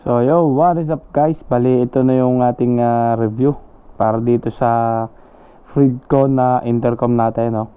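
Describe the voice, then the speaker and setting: A man's voice: mid-range voice, fairly even intonation, measured pace, smooth. A man chatting informally to viewers as he tests a product, mixing in English, recorded on an action camera microphone fitted in a helmet. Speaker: vlogger. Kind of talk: casual product test chat. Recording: narrow, phone-like action camera sound.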